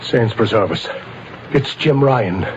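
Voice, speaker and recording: A man's voice: lowish voice, expressive, slow. An actor playing a loyal, hot-tempered male sidekick in a 1940s radio drama. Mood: gruff. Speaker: detective's partner. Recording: dull lo-fi old radio recording with hiss.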